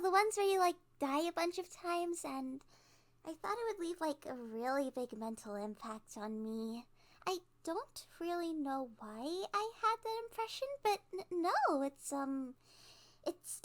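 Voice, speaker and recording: Woman acting a high-pitched, giggly, stammering kidnapper girl. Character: cute, nervous, giddily obsessive young female kidnapper. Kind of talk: ASMR roleplay monologue.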